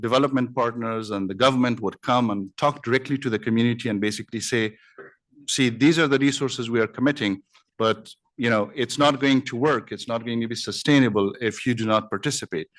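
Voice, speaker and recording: A man's voice: mid-range voice, fast talker. A man speaking as a conference panelist, hesitant, with many fillers and restarts. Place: conference breakout room.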